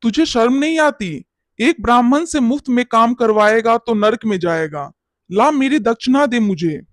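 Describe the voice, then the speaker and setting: A man's voice: very high-pitched, expressive, moderate pace, no room sound, clean studio sound. A man playing a greedy, glib and bossy village priest in a cartoon bedtime story, scolding and cajoling. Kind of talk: animated bedtime story character acting.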